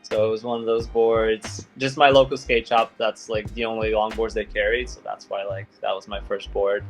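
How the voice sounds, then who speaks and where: A man's voice: fairly low pitch, little pitch movement, moderate pace, faint music behind. A young man from Belgium, a guest skater talking in a loose, laid-back way with lots of fillers. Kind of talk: casual interview chat.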